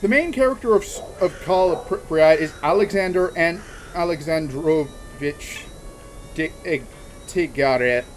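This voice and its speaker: A man chatting casually on a game livestream, talking in a halting, rambling way with many restarts and fillers. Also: fairly high pitch, slight background noise, rough recording. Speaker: streamer. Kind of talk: casual livestream chat while gaming.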